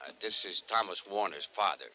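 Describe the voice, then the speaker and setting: A man's voice: low-pitched, fairly quick, highs cut off, close to an everyday voice. An actor playing a courteous, plain-spoken older working father, hesitant and homespun, in an old-time radio drama. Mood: earnest, hesitant.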